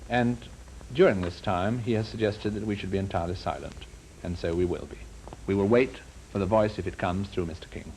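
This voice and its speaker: A man interviewing formally on a 1950s broadcast, with measured, deliberate and probing questions. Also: low voice, a little mellow. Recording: noisy 1950s broadcast recording.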